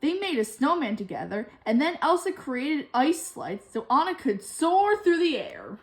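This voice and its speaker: A woman telling a children's story from a book in an expressive way. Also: high-pitched, a little slow. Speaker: storybook reader.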